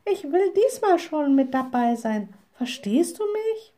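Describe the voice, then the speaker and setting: Little witch for a children's storybook, woman reader acting: very high voice, hot-tempered, indignant, headstrong. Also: big pitch swings, unhurried, darkish tone, heavily acted. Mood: defiant. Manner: stubborn, indignant. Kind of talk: storybook character voice.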